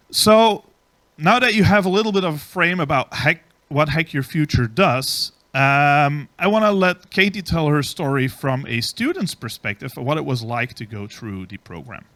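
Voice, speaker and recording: A man presents at a conference, speaking at an average pitch. He explains patiently, with frequent filler ums between his points. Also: a bit bright. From Belgium. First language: Dutch. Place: conference stage.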